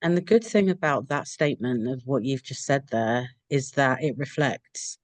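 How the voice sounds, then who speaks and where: A woman's voice: rumbling low, moderate pace, no echo. Rambling woman podcast host, dry sound. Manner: rambling, discursive, with fillers. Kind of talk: interview podcast hosting.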